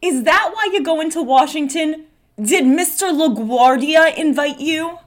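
Woman acting out lovestruck young woman, fairly high voice, gushing, teasing, theatrical, stage read-through. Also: a little slow.

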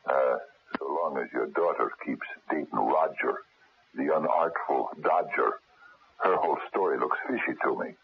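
Very deep, gravelly retired cop. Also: male voice, moderate pace, exaggerated voice. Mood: stern warning. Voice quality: gravelly, husky. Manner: blunt, streetwise, hesitant phrasing. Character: gruff retired policeman turned honest private investigator. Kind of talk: 1970s radio drama acting. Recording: muffled narrow phone-like radio recording.

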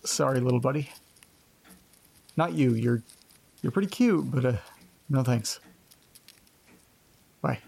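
A man acting in a naturalistic audio drama as a laid-back, sleepy, awkwardly friendly guy with a gravelly, husky voice. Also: mid-range voice, lively intonation, takes it slowly, long pauses, a little mellow, full character voice. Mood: drowsy, casual. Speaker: lead actor in horror audio drama.

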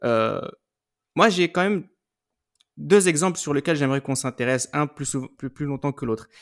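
A man's voice: a little high, moderate pace. A man hosting a podcast, moderating conversationally and handing over to his panelists. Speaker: podcast host.

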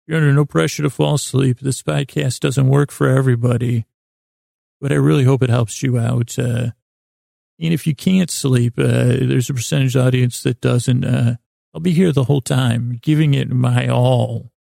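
Creaky, mellow man rambling at bedtime. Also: mid-range voice, steady tone, no echo, clean studio sound. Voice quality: creaky, gravelly. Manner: meandering, halting, self-deprecating, soothing intent. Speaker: host of a bedtime sleep podcast. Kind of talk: rambling bedtime monologue to the listener.

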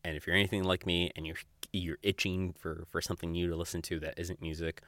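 A man hosting a podcast solo in casual, rambling talk, halting and self-conscious, prone to giggling. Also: low voice, flat delivery, fairly bright tone, no echo. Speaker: podcast host.

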